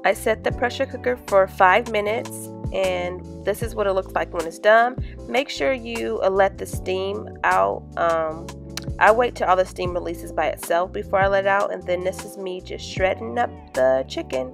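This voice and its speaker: A woman's voice: mid-range voice, normal speed, gravelly. A woman in her thirties hosting a casual home cooking vlog, chatty and upbeat, talking straight to the camera. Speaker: cooking vlogger. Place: home kitchen and small room indoors. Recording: music playing underneath.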